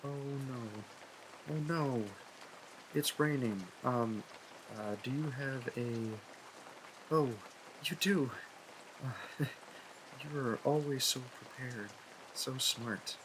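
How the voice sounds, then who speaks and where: A man's voice: mid-range voice, extremely slow, pauses often, background noise. A man playing a shy, smitten young library worker in a roleplay audio, nervous and awkward, stammering and correcting himself. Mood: flustered, caring. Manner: stammering, hesitant, self-correcting. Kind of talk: intimate roleplay audio acting.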